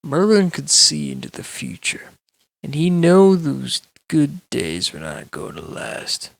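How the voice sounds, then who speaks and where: Slow, gravelly man telling a bedtime story. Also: on the high side, huge pitch swings, dry recording. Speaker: storyteller reading aloud.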